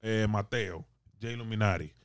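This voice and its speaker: A man's voice: on the low side, measured pace, clear, resonant tone, no room sound. A man in his thirties with a Dominican Spanish accent, debating casually and emphatically, repeating phrases and mixing in English. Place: small indoor podcast studio. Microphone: close studio microphone on boom arm.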